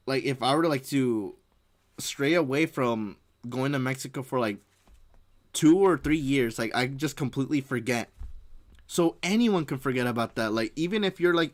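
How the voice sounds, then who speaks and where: A man from the United States giving casual, rambling reaction commentary as a streamer, leaning heavily on filler words. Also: medium pitch.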